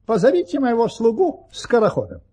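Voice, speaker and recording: An actor playing a courteous, boastful, unflappable nobleman adventurer in an audio play, self-assured and courtly, with a husky, gravelly voice. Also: extremely high pitch, huge pitch swings, a little fast, dark tone, heavily acted. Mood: unruffled.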